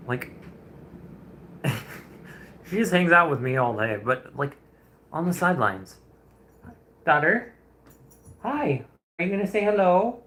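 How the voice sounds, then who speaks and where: A man's voice: fairly high pitch, bright, forceful tone. A man in his twenties, a streamer chatting casually and rambling with viewers during a painting livestream, chuckling often. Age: 20s.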